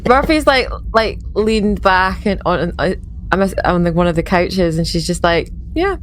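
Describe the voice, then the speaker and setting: Lowish-voiced woman playing a tabletop game. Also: animated, a little slow, faint music behind, low-quality recording. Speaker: player in a tabletop roleplaying game. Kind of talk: casual table chat, describing her character.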